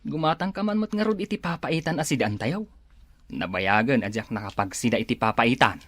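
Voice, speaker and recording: A woman's voice: very deep voice, expressive, a little fast, dry recording. A woman playing a grumbling, exclamatory elderly husband in an Ilocano radio drama. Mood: grumbling, wheedling.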